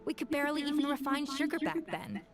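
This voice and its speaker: A refined, composed game chef. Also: female voice, high voice. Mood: explanatory. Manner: polished, measured and matter-of-fact. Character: refined young female chef, composed and precise. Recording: game audio with music.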